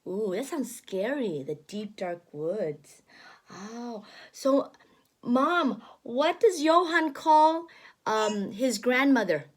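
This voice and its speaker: A woman with a high voice reads a children's story and explains it as she goes. Her delivery is slow and very animated, full of gasps and simple questions, like a teacher guiding a small child. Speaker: teacher reading a picture book aloud to a child.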